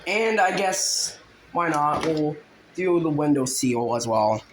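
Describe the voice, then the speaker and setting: Clear noise and small clicks sit around the voice in this homemade recording. The speaker is a man with a fairly high voice. He presents in an informal hobbyist show-and-tell style, halting as he goes and letting phrases trail away. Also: expressive. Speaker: hobbyist video creator. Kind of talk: casual show-and-tell narration. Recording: noisy home video with clicks.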